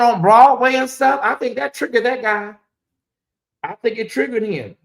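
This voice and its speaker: A man talks casually to his livestream viewers from home. His voice is hoarse and fading, and he rambles, circles back and repeats lines with dry sarcasm. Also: sits high. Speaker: livestream host. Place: home in Atlanta, cold room.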